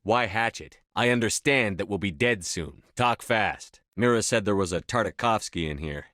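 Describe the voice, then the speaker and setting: A steadfast male space-marine commander for a video game. His voice sits low, and he speaks in clipped, authoritative phrases. The recording is dry and close, with no room around it. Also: a bit bright, no room sound. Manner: clipped, authoritative military delivery. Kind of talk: video game dialogue lines.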